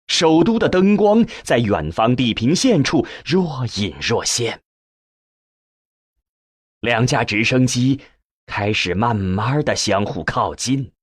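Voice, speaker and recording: A man reading a novel as a scripted narration, with measured, expressive storytelling and a husky voice. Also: medium pitch, dry recording, clean studio sound. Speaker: audiobook narrator.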